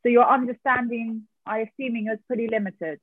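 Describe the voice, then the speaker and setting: A woman's voice: a little high, steady tone, a little fast, breathy edge, no echo. A woman hosting a podcast interview, encouraging and chatty, with hesitant fillers. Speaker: podcast host. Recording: tinny phone-like line, dry.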